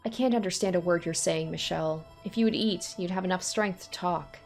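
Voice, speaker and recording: A cruel young woman captor with a low, soft voice that sounds sweet on the surface and mocking underneath, as a woman reader puts her on. She taunts slowly and sadistically, toying with her captive. Storybook reading with faint music behind. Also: vocal fry.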